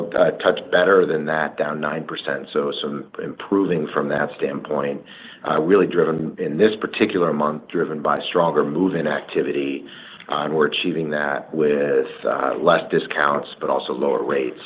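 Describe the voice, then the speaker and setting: A man speaking as a company executive, answering analysts on an earnings call with measured, hesitant corporate phrasing on conference audio. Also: rumbling low. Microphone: conference call line. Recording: dull conference audio, faint noise.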